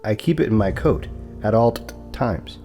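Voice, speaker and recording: An actor playing a formal, deferential property broker who stutters, in an audio drama. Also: male voice, on the low side, lively intonation, normal speed, warm tone, soft background music, lightly acted. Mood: formal, measured. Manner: formal, precise, apologetic.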